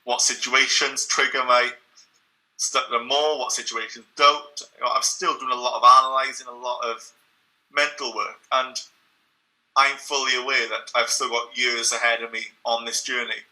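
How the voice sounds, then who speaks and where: A man's voice: medium pitch, level tone, normal speed, pushed and bright, low-quality recording. A young man, speaking as an interview guest in an earnest, reflective way, sometimes repeating words as he restarts a thought.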